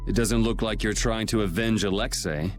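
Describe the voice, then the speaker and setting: Man as a low-voiced, blunt young hero. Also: moderate pace, over music, lightly acted. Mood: determined. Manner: casual, direct. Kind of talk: video game cutscene acting.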